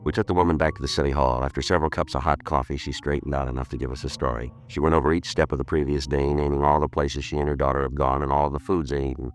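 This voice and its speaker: An actor playing a terse, unflappable police detective who questions and narrates in a deadpan, clipped manner, in 1950s radio crime drama style. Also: male voice, very deep voice, fast talker, few pauses. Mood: matter-of-fact. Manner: deadpan, clipped, matter-of-fact.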